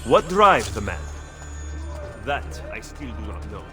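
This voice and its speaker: Game casting: skeptical schemer, measured, formal and admonishing, heard over music. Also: male voice, lowish voice, big pitch swings, sharp tone, full character voice. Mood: grave. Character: cautious, shrewd Italian Renaissance male political strategist, stern and skeptical. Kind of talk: dramatic video game acting. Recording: music behind the dialogue.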